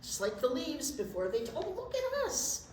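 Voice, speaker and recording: An American woman reading a picture book aloud to young children, drawing out words expressively and adding chatty asides. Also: high-pitched. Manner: expressive, drawn-out storytelling. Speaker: children's storytime teacher. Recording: echoey room with clear background noise.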